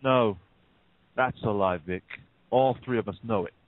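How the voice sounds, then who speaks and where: A man's voice: fairly low pitch, unhurried, a little hiss or hum, lightly acted. A man playing a smug, menacing bank robber in an audio play, speaking with taunting, mock-polite deliberation. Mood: mocking, commanding. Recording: muffled narrow phone-like sound.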